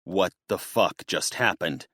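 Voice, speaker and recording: A man's voice: low-pitched, takes it slowly, sharp tone, dry recording, studio quality, full character voice. A man voicing a furious ex-Marine father holding back his rage, clipped and tense.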